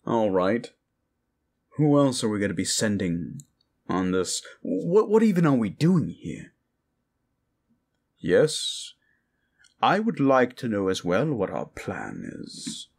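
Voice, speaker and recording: Man acting a sceptical adventurer, animated intonation. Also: lowish voice, slow pace, vocal fry, long pauses, husky, full character voice. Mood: questioning. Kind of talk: improvised fantasy ASMR roleplay.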